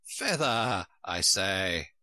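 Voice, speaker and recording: A man's voice: deep voice, very animated, extremely slow, pushed and bright, no room sound, voice pushed down, full character voice. A man voicing a cross, bossy old female sheep from a storybook, curt and scolding. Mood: angry. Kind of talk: storybook character voice.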